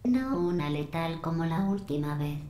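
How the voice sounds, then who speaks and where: Deadpan, mocking AI overseer; low and cool, roomy game reverb. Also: female voice, normal speed, a little hiss or hum. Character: cold sarcastic female AI overseer. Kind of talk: Spanish game dub.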